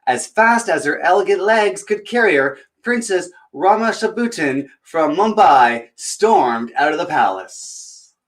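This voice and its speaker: A man hosting a children's storytime, chatting to viewers and reading aloud in an expressive, theatrical way. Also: high voice, unhurried. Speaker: children's storytime host and reader. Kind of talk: chatty introduction and animated picture-book reading aloud.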